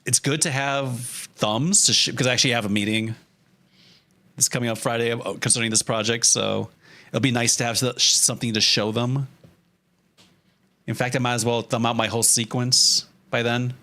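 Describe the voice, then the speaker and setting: A man's voice: mid-range voice, steady tone, normal speed, bright, forceful tone. A man chatting casually on a livestream as he works, with a joking, self-deprecating manner and loose, sweary phrasing. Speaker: streamer, storyboard artist. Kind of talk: casual livestream chat while drawing.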